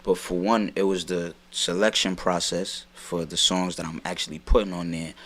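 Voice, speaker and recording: A man's voice: low-pitched, little pitch movement, moderate pace, a bit bright. A young man from Brooklyn, a rapper guest talking through his process in a casual, laid-back, rambling way. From Brooklyn, New York. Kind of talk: casual sit-down chat.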